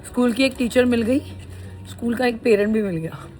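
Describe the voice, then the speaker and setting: A woman's voice: a little high, brisk, mellow, slight background noise. A woman lifestyle vlogger talking casually to her viewers, often slipping English phrases into her Hindi. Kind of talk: casual chat to camera. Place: home kitchen while cooking.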